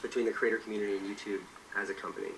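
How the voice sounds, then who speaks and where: A man's voice: on the low side, flat delivery, very fast, vocal fry, a bit bright, slight background noise. A man interviewing a guest, asking earnest, probing questions. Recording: distant mic with faint ambience.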